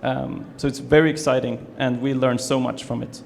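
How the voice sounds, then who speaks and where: Young man from Sweden, gravelly voice, hesitant presenter, long hall reverb. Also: mid-range voice, level tone, moderate pace, a little mellow. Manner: hesitant, many fillers and restarts. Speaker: student presenter. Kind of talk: presentation to an audience. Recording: reverberant hall, faint ambience.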